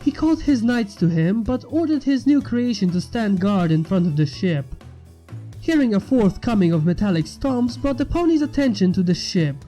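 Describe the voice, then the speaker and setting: A man reading a story aloud as a narrator, with expressive delivery. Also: high voice, moderate pace, low-quality recording. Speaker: fan fiction narrator and channel host. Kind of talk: scripted story narration over music. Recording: music under the voice. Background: background music.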